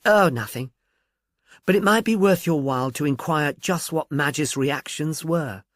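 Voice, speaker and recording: A man voicing a frank, brisk woman at a film studio, gossipy and matter-of-fact, in an audiobook. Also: on the high side, fairly bright tone, no echo. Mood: knowing. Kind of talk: audiobook character dialogue.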